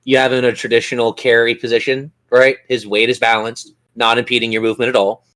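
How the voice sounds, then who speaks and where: A man's voice: mid-range voice, little pitch movement, fast, fairly bright tone. A man narrating a tabletop role-playing game as game master, with theatrical, descriptive storytelling.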